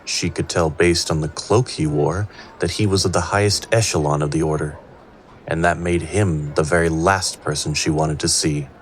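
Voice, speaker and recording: A man's voice: very deep voice, fairly even intonation, normal speed, a little hiss or hum. A man performing scripted narration of a fantasy tale as an all-knowing storyteller. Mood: suspenseful. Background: prominent music.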